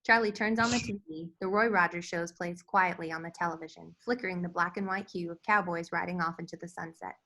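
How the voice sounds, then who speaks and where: A woman's voice: on the low side, steady tone, a little fast, hardly any pauses, pushed and bright, rough recording. A woman reading scripted stage directions as the narrator of a screenplay table read. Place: virtual table read over video call.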